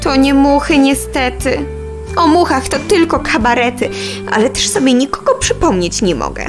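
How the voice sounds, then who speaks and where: Very high-pitched, dreamy little fly. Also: female voice, expressive, moderate pace, music in the background. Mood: doubtful, dejected. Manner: theatrical, hesitant, buzzing stammer. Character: dreamy, insecure, melodramatic little female housefly singer. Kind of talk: children's audio play acting.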